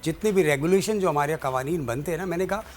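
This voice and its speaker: A man with a Pakistani accent answering as an interview guest, explaining in a halting, deliberate way and switching between Urdu and English. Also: on the high side. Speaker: guest, president of an air traffic controllers' guild.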